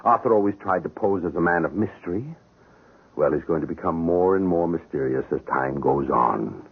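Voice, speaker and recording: An actor playing an anxious, cautious lover and accomplice in a 1970s radio drama, reasoning and admonishing in formal speech. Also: male voice, low-pitched, expressive, normal speed, gravelly, slightly rough, heavily acted. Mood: smooth. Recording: muffled, narrow-band old radio broadcast.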